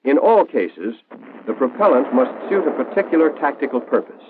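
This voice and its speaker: Old tinny 1940s training film narrator, american guy, explains stuff clearly, lively up and down delivery. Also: medium pitch, moderate pace, noisy background. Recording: tinny band-limited 1940s film soundtrack.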